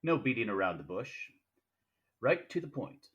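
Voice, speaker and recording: An actor playing a genial, cunning, courtly fat crime boss in a 1940s-style radio play, with a pompous, wordy manner. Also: male voice, medium pitch, big pitch swings, moderate pace, fairly bright tone, lo-fi sound. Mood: jovial, courtly. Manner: pompous, theatrical, elaborately formal.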